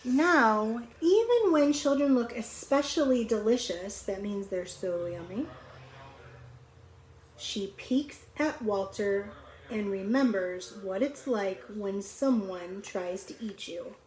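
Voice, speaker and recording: A middle-aged woman reads a picture book aloud to young children, pausing to explain simply and ask questions in a conversational way. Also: medium pitch, expressive, a little mellow. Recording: clearly noisy home recording.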